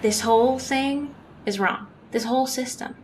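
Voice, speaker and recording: A woman playing a sad, resigned young woman who speaks calmly, in old-time radio drama style. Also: high-pitched, moderate pace, slight background noise, lightly acted. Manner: calm, resigned.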